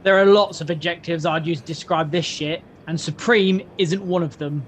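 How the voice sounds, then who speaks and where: A woman's voice: fairly low pitch, fairly even intonation, moderate pace, slight background noise, natural voice. A woman playing a cheeky, slightly dim young hotel worker in an audio drama, blunt and flippant.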